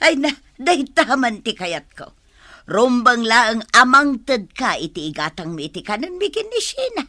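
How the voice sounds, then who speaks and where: Woman acting a bright, swooping, scolding village grandmother. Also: fairly high pitch, very animated, a little slow, dry recording, clean studio sound, heavily acted. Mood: eager. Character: wily money-minded village grandmother, brusque and chatty. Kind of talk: comedy radio drama acting.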